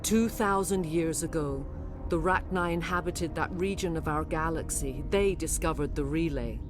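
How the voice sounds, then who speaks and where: A woman playing a regal, remorseful alien mother in a video game, speaking in solemn, measured, formal language. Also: sits low, over music, lightly acted. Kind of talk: dramatic video game acting.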